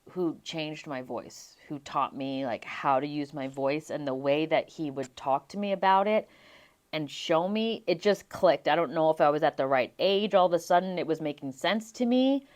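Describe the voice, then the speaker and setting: A young adult woman from Las Vegas, a singer being interviewed, telling her stories in an animated way and laughing often. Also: sits low. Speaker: guest singer. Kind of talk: casual interview chat.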